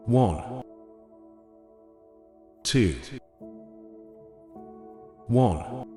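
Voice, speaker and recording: A man narrating a scripted guided meditation in a gentle, unhurried manner, with short phrases spaced apart. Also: mid-range voice. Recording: echoey long reverb over ambient music. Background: soft ambient music.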